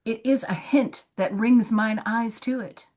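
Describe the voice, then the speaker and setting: A woman as young daughter, unhurried, earnest, tender-hearted, attentive, measured Shakespearean verse. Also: on the high side, steady tone, darkish tone. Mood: distressed. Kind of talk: amateur Shakespeare play reading. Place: online video call.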